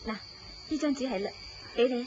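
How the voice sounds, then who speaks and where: An actress playing an affectionate married woman in a wistful secret romance, coy and expressive in Cantonese radio drama style. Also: sits high, fairly quick, close to an everyday voice. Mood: earnest. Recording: dull lo-fi audio, loud background noise.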